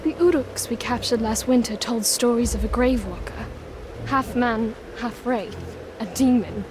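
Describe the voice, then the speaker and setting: An actress playing a serious female fighter in a video game, speaking gravely like a storyteller. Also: on the high side, a little slow, noisy background, natural voice. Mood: ominous. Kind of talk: video game cutscene acting.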